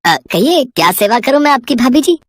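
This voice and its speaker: A woman voicing a lecherous, sly male tailor in a cartoon story, smooth-talking, boastful and wheedling. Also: high voice, big pitch swings, fairly quick, no echo, clean studio sound, pitched below the performer's own voice. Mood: oily, boastful. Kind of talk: exaggerated cartoon story voice acting.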